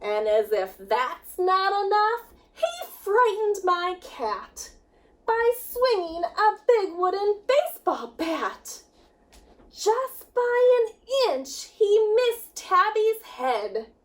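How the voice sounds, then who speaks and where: Very high-pitched woman reading a children's story. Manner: animated, emphatic, sing-song storytelling with drawn-out words. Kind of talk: expressive children's picture book reading.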